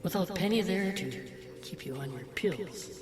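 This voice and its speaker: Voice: medium pitch, expressive, moderate pace, natural voice. An actor playing the mocking, disgusted inner voice of an old man, sardonic and needling, in an audio drama. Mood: scornful. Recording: roomy echo, music behind.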